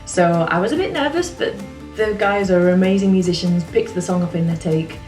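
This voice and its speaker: Woman, low voice, chatty and relaxed, roomy home studio, a bit off mic, music behind. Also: fairly quick, far from the mic. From England. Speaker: singer-songwriter. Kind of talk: casual talk to camera.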